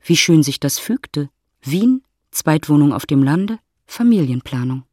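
A woman's voice: deep voice, very animated, measured pace, darkish tone, a little hiss or hum. Radio play narrator: composed, wry female storyteller, literary delivery.

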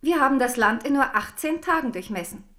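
A woman's voice: sits high, lively intonation, brisk, sharp tone. An actress playing a proud, devout Protestant queen in a German radio drama, stern, dignified and righteous. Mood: formal, guarded.

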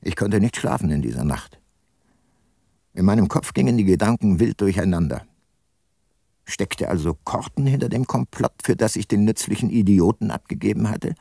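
Brooding older detective, low voice, dry studio sound, radio play. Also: male voice, vocal fry, darkish tone, breathy edge, dry recording, full character voice. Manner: reflective, sober. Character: brooding, sombre aging private detective narrator. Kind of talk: first-person radio drama narration and dialogue.

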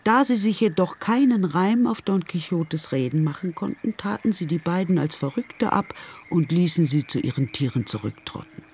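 A man's voice: high voice, lively intonation, normal speed, a little mellow, slight background noise. A man narrating a literary radio play in scripted, storybook prose. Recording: music under the voice.

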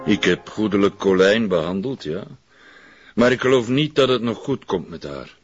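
An actor playing a guarded, formal middle-aged Flemish psychiatrist in a crime drama, speaking in a measured, evasive way. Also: male voice, on the low side, animated, husky, soft background music. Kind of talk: television crime drama dialogue. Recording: dull, echoey room sound.

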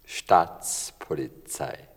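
An actor playing a taunting policeman in his seventies in a radio drama, echoing words back. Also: drawn-out words. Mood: officious. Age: older. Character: mocking state policeman in his seventies, bullying an arrestee.

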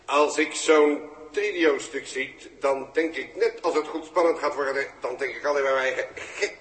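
An actor in a 1960s radio drama playing a plain-spoken Rotterdam dockworker who talks bluntly and haltingly. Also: male voice, medium pitch, a bit bright, natural voice. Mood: awkward, indignant. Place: hall. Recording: roomy, noisy old hall recording.

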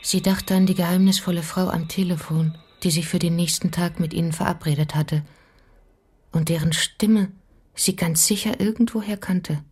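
A reflective female storyteller in a radio play. Her voice is on the low side and husky, reading scripted narration. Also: fairly even intonation, normal speed, darkish tone. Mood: pensive.

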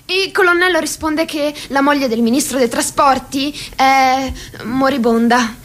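A woman playing the narrator of a political radio drama, theatrical and deliberate, drawing out the ends of phrases. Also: sits high, fairly bright tone. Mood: observing. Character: female narrator chronicling a grotesque political meeting.

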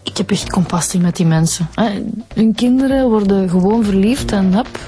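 An actress playing a keen, outspoken police detective in a radio drama, talking naturally with a Flemish accent. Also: female voice, mid-range voice, normal speed, dark tone, close to an everyday voice. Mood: sympathetic.

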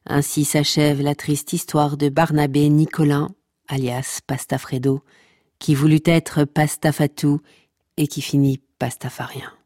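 Wry storyteller, very low pitch, dark relaxed tone, deadpan, sardonic, comic audio fiction. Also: female voice, unhurried, no room sound, clean studio sound. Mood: mock-solemn. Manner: deadpan, sardonic, addresses listeners directly. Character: sardonic, teasing female storyteller scolding her listeners. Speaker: narrator. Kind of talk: scripted comic narration, podcast fiction.

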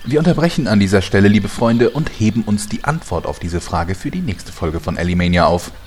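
A deep-voiced narrator, played by a man, presents a comic radio soap. He announces with mock drama and teasing suspense, over faint background noise. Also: lively intonation, brisk, a little mellow, close to an everyday voice.

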